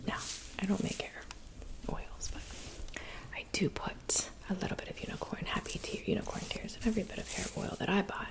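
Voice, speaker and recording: A woman playing a gentle, attentive witch in an ASMR roleplay, whispering breathily and unhurriedly with soft pauses. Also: medium pitch, little pitch movement, natural voice, poor audio. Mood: confiding, gentle. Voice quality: breathy whisper with creaky edges. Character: gentle, attentive old witch who looks young. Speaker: ASMR performer.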